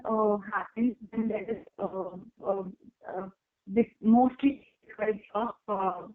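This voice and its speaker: A woman role-playing a doctor in a practice exam, explaining advice haltingly with many fillers. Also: medium pitch. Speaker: doctor candidate in a role-play. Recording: tinny phone line.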